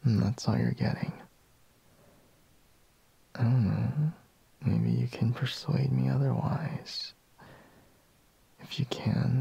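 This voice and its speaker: A sleepy boyfriend, acted by a man in an ASMR roleplay, murmuring slowly and half-whispering. His voice is very husky and crackles with heavy vocal fry. He sounds reluctant yet teasing, as if barely awake. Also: mid-range voice, mellow, no echo, dull audio, exaggerated voice. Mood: drowsy, coaxing. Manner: slow, drowsy murmur with sighs and pauses.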